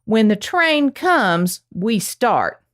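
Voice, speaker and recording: A woman's voice: on the high side, very animated, drawn-out words, a bit bright, full character voice. A woman playing a ruthless, hot-tempered young gang boss in a Western radio play, commanding and threatening, with an American accent. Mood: ordering, businesslike. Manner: commanding, threatening cowboy drawl.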